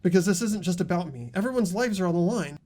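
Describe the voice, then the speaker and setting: Woman doing earnest teen student in a game, super expressive, determined, voice jumps around. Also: fairly low pitch, quick pace. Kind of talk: amateur video game voice acting.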